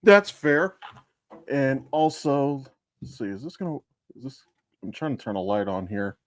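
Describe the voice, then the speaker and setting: A man's voice: mid-range voice, expressive, measured pace, no echo. An American man co-hosting a podcast in casual, rambling, sweary chat. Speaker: podcast co-host and voice actor.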